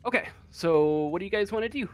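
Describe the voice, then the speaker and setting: A man narrating as game master of a tabletop game, improvising in a hesitant, halting way. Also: high voice, lively intonation.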